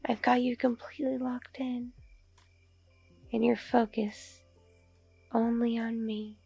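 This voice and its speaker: A woman playing a seductive, commanding mature female vampire in an intimate ASMR roleplay, breathy, purring and often whispering. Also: fairly high pitch, flat delivery, warm tone, no echo, faint music behind, heavily acted. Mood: hypnotic, commanding. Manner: slow, drawn-out, purring, domineering.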